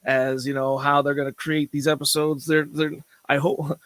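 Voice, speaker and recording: A man who has just woken up and sounds hoarse, chatting casually on a gaming podcast and laughing as he talks. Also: a little high, flat delivery, normal speed, fairly bright tone, dry recording. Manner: laughs often while talking. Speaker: podcast panelist. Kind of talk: casual chat.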